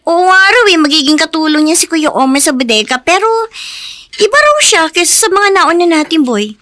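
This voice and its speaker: Girlish young sister, extremely high bright voice, gentle, innocent, radio drama. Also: very animated, a little fast, heavily acted. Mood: hesitant, mild.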